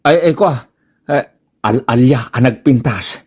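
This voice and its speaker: A man's voice: mid-range voice, breathy edge. A man playing a flustered, lovestruck married tricycle driver in an Ilocano-accented radio comedy, stammering and drawing out his exclamations. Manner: stammering, exclaiming, drawn-out words. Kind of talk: comedic radio drama acting.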